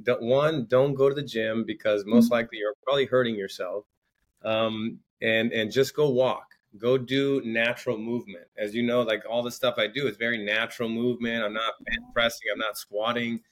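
A middle-aged man, a guest on an interview podcast, talking earnestly in casual chat and often repeating words as he starts his sentences. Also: medium pitch.

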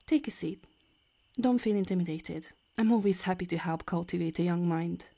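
An actress plays a prim female academic in a science fiction audio drama. Her voice sits on the low side and sounds dry, with formal, condescending delivery that puts people in their place. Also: normal speed, vocal fry, warm tone, dry recording. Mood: composed, condescending. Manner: formal, pompous, correcting. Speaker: voice actor in an audio roleplay.

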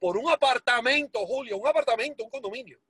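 A man in his forties with a Cuban Spanish accent, telling his life story as an interview guest in a lively, self-deprecating way, slipping in English words. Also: extremely high pitch, fast talker, slightly rough, no room sound. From Miami, United States. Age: 40s.

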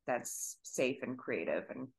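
A woman's voice: rumbling low, lively intonation, slow pace. A woman dancer in a podcast conversation who speaks earnestly and searches for her words.

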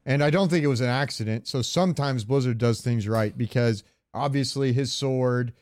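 A man hosting a podcast, giving casual fan commentary in a smooth, clear voice. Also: mid-range voice, moderate pace, darkish tone. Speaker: podcast host.

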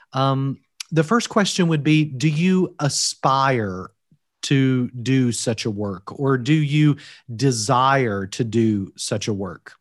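Man, Kentucky accent, earnest and deliberate, preacherly stresses, dry close recording. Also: mid-range voice, dry recording. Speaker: guest, seminary teacher and preacher. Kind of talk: measured podcast interview. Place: recorded from Wales.

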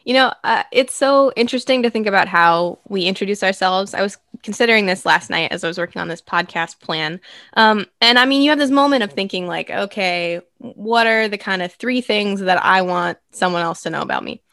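Casting: young woman, student podcast host, mid-pitched, thoughtful, recorded close and dry. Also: fairly quick, vocal fry, fairly bright tone, no room sound. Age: young adult. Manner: reflective and thoughtful, with ums. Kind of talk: conversational podcast hosting.

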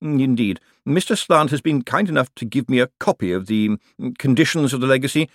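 A man's voice: mid-range voice, expressive, brisk, no room sound, clean studio sound, voice pushed up. A man voicing a stiff, pedantic male bank clerk in an audiobook, humming "mm" between formal phrases. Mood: officious. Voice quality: habitual "mm" hum between phrases. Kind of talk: audiobook character dialogue.

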